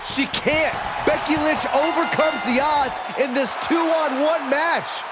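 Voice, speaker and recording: A man giving excited, dramatic wrestling commentary, shouting his calls over loud arena noise. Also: extremely high pitch, takes it slowly, loud music behind the voice, a loud crowd.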